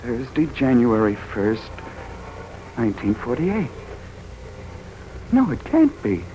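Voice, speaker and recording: An agitated American reporter who has lost his memory and cannot believe what he hears. His voice sits in a middle register and swings widely in pitch. It is a muffled 1940s radio drama recording with some music. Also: huge pitch swings, takes it slowly, a little hiss or hum. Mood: stunned, distressed. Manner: agitated, incredulous questioning. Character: bewildered hungover amnesiac reporter, agitated and distressed.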